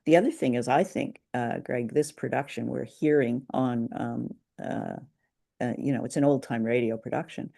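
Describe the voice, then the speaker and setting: A woman's voice: a bass voice, normal speed, creaky, dark tone. A woman from Canada co-hosting a podcast, talking through a review in a thoughtful, chatty way. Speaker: podcast co-host. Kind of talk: conversational discussion and review.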